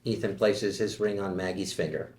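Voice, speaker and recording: A man reads the scene and action lines of a screenplay in a plain, steady way. His voice sits low, and the room gives it a noticeable echo. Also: moderate pace, slight background noise. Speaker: narrator reading stage directions.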